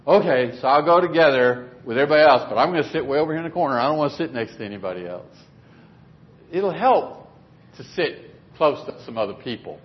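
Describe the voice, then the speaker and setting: A man's voice: medium pitch, expressive, fast. A man preaching a sermon in a conversational, plainspoken way in a church meeting room. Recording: dull lo-fi recording.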